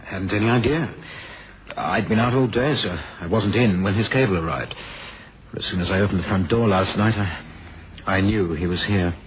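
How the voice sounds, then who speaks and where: An actor playing a bitter young playboy son in a radio drama, hesitant and mock-polite with sarcasm. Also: male voice, deep voice, normal speed, close to an everyday voice. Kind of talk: 1970s radio drama acting. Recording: tinny, noisy old radio recording.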